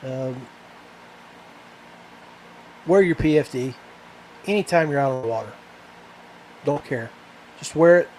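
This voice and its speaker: Halting man podcasting over background hum. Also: mid-range voice, animated, a little mellow, rough recording. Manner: halting, trailing off. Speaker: fishing podcast host. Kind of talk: casual rambling podcast talk.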